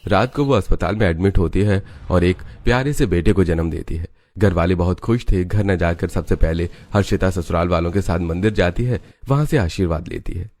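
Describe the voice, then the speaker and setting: A man's voice: low-pitched, a little fast, hardly any pauses, a little mellow. A man narrating a scripted family story for a cartoon.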